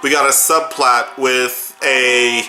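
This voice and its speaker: A man hosts a fan reaction with a casual, excitable delivery. He is melodramatic and playfully overwrought. His voice sits in a roomy echo, with music playing behind it. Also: mid-range voice, takes it slowly. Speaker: host of a reaction video. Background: music and show audio.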